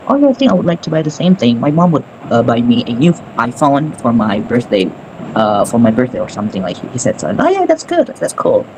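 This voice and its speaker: A man, an English teacher, tells a story loosely and chattily, filling gaps with "like" and "um". His voice sits at an everyday middle pitch. Steady noise runs behind him on the call. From Indonesia. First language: Sundanese. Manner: chatty, loose, with many fillers. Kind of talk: casual storytelling in a meeting. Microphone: video call. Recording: noisy background.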